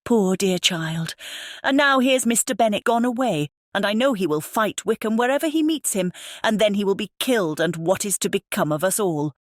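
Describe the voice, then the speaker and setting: A woman's voice: medium pitch, animated, moderate pace, dry recording, clean studio sound, natural voice. A woman voicing a fretful, self-pitying middle-aged mother in an audiobook, lamenting and complaining in exclamations. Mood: plaintive, distressed. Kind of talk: dramatized audiobook character reading.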